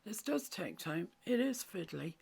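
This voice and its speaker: A woman with a croaky voice, teaching a watercolour painting tutorial in soft, often half-whispered instructions. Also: fairly low pitch, very animated, a little slow. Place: home room with a mantelpiece.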